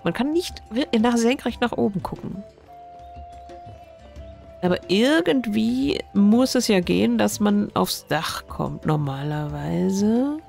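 A woman with a stuffy, sniffly nose talks through a game she is exploring, puzzling things out aloud. Her voice is mid-pitched with a gravelly edge, and faint game music plays behind her. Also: lively intonation, slow, a little mellow. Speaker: gaming streamer. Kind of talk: casual game commentary.

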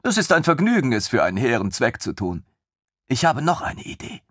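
A man's voice: mid-range voice, very animated, a little fast, fairly bright tone, slightly rough, dry recording, studio quality, voice pushed up. A man playing a giddy, gushing lieutenant. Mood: eager. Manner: breathless, gushing, rising questions. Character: eager excitable male lieutenant, scheming storyteller. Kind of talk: audiobook reading.

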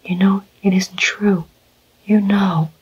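A reader whispers a frightened young bride from a storybook, bewildered and trembling. Her lines stay breathy and slow. The recording sounds slightly muffled. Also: mid-range voice, level tone, voice pushed up. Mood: frightened pleading.

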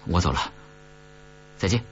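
A man voicing an awkward, earnest, apologetic man in an audiobook, speaking haltingly and politely. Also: dull audio, slight background noise, close to an everyday voice. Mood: reassuring, earnest. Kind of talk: audiobook character dialogue.

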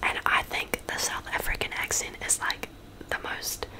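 A woman's voice: moderate pace, bright, forceful tone, rough recording. Young New Zealand woman whispering ASMR. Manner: rambling, chatty whisper with many ums. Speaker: ASMR creator. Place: small bedroom, indoors. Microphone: studio mic on stand, close up.

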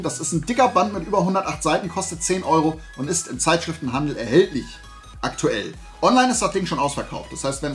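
A man in his forties from Germany chatting casually to camera as a vlog host, in a colloquial, self-deprecating way. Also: a little high. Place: small indoor room lined with collectible shelves.

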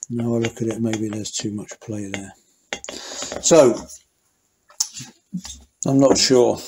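A man's voice: on the low side, darkish tone, slight background noise, poor audio. A man explaining a motorcycle engine rebuild as he works, thinking aloud with fillers and restarts. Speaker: motorcycle mechanic. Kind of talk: hands-on workshop commentary.

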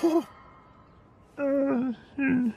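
Gravelly, mumbling cartoon oddball. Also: male voice, very high-pitched, fairly even intonation, drawn-out words, heavy background noise, exaggerated voice. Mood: muttering, puzzled. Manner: short muttered phrases, grunts and hums. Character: bumbling, childish, mischievous cartoon man, middle-aged. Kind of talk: animated comedy voice acting.

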